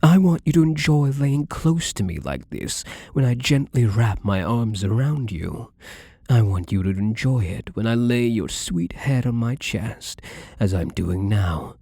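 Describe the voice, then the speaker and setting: A man playing a smug, possessive wealthy gambler in an intimate ASMR roleplay, suave and teasing with a breathy, husky voice. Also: fairly low pitch, animated, unhurried, mellow, no echo, studio quality, heavily acted. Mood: tender, possessive. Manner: suave, teasing, theatrical endearments. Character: possessive, smug, wealthy male gambler doting on captive lover.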